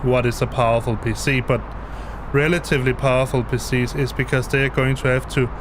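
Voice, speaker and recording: Danish man, halting live-stream explanation. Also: mid-range voice, steady tone, background noise. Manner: hesitant, many restarts and repeated words. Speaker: flight sim streamer. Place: home basement.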